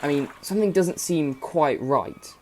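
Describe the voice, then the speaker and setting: A man's voice: on the high side, a little slow, a little hiss or hum, lightly acted. A man playing an irreverent, jokey narrator of a dystopian radio play, talking colloquially and wryly to listeners. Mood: wry, chatty. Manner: colloquial, wry. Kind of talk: chatty storytelling addressed to listeners.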